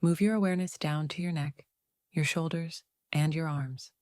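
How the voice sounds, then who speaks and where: A woman narrating a guided meditation in a soothing, unhurried way, leaving long pauses between phrases. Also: deep voice, a little mellow, dry recording, clean studio sound.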